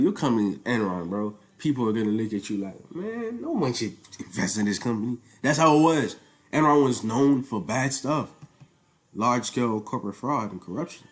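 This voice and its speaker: Young male, Nigerian accent, informal student presenter, conversational and slangy, leans on repetition for emphasis. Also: lowish voice. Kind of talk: informal spoken project presentation.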